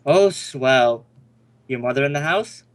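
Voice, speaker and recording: A man's voice: mid-range voice, lively intonation, measured pace, clean tone, natural voice. A man playing an affable but suspicious suburban husband and father in an amateur radio play, talking conversationally with some exaggerated delivery. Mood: breezy, fatherly.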